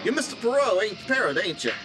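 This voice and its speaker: A man plays a folksy, eager elevator operator in an old radio play. The voice is high and bouncy in pitch, with heavy noise all around it. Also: sing-song, fast. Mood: chatty, deferential. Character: eager, chatty new elevator operator, uneducated. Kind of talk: live 1940s-style radio drama acting. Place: live performance in a library before an audience. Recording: live stage recording under loud noise.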